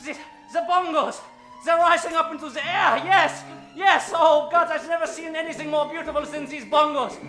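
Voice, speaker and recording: An actor playing a gloating, grandiose villain with artificial hands in a melodramatic old-time radio comedy. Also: male voice, very high-pitched, steady tone, normal speed, pushed and bright, soft background music. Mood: awestruck, frantic.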